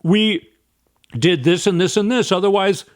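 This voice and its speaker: A man gives casual political commentary in a fairly high voice. He talks in an animated, emphatic way and sounds exasperated. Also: a little slow.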